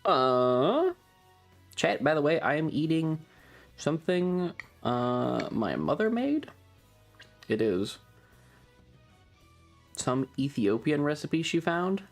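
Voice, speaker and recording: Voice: mid-range voice, big pitch swings, takes it slowly, long pauses. Casual, rambling streamer over music. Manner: informal, rambling, thinking aloud. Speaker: streamer and ASMR video creator hosting a livestream. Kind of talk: casual livestream chat.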